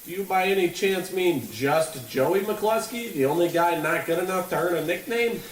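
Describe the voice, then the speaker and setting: Highish-voiced blustering coach, bossy and oblivious, radio comedy, roomy and slightly distant recording. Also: male voice, animated, normal speed. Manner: blustering, old-fashioned slang. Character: gruff, bossy, oblivious old-time baseball coach. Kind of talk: old-radio comedy acting.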